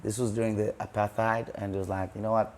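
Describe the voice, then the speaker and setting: Low-voiced easygoing man from East Africa. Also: level tone, fast, darkish tone, slight background noise. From East Africa, Kenya and Uganda. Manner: easygoing, laughs often, restarts sentences. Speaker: guest, musician. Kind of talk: casual interview chat. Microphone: lapel mic on collar.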